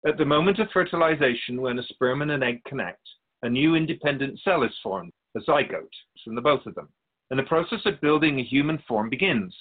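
A man plays a wise otherworldly spirit of a radio drama, patient and gently pedantic. The voice is husky and swoops widely as it explains things in measured phrases. The sound is narrow and phone-like. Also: mid-range voice, expressive, close to an everyday voice. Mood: explanatory, measured. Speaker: spirit being interviewed. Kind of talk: scripted radio drama dialogue.

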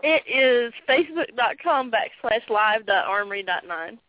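A woman's voice: a little high, animated, moderate pace. A woman co-hosts a casual talk show, teasing and laughing as she jumps in with short remarks and stories. The sound is thin and narrow, like a phone line. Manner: playful, laughs easily, chimes in briefly. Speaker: podcast co-host. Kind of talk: casual radio-show banter.

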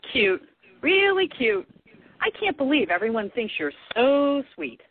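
Animated, blunt big-hearted sister played by a woman. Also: high voice, measured pace, full character voice. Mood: exasperated, teasing. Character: blunt, teasing, concerned sister of the actress. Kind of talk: radio play acting. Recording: thin phone-like audio, dry.

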